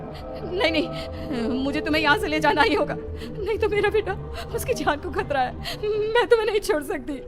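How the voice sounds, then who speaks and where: A woman's voice: very high voice, animated, moderate pace, music in the background. A woman playing a guilt-ridden, desperate mother in a cartoon story, pleading dramatically. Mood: frightened, determined. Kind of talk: Hindi cartoon story acting.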